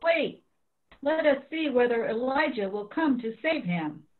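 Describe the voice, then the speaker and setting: A woman's voice: fairly high pitch, animated, a little slow. Woman reading scripture, thin phone-like audio. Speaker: scripture reader.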